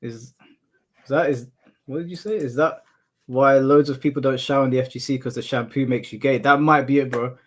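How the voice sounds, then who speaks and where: A man from the UK with a monotone voice, casually chatting and answering his livestream chat, laughing off insults. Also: medium pitch, moderate pace. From United Kingdom. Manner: relaxed, laughs off insults, addresses chat as bro. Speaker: streamer. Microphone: headphones in, desk mic.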